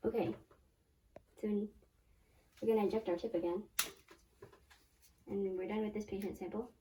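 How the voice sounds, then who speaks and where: A 12-year-old girl with a squeaky voice hosts a casual hands-on science demo, chatting and correcting herself as she walks through each step in a small home lab. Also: steady tone, normal speed, dark tone, a little hiss or hum. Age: 12 years old. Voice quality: very high squeaky young voice. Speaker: young science channel host. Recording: voice from a distance off the mic.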